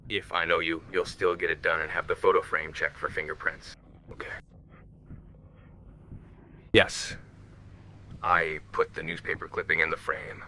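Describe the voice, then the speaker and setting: An actor in a radio play as a cornered, defensive, bitter suspect, gravelly and tense, with noise behind him. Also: male voice, sits low, level tone, measured pace, long pauses, pushed and bright, full character voice. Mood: tense confession.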